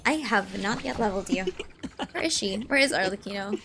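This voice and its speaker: A woman in her early twenties chatting casually on a gaming stream, excitable and gushing, rambling as she goes. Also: mid-range voice, fairly quick, background noise. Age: early 20s. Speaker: streamer and video essay creator. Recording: music behind the voice. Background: game music.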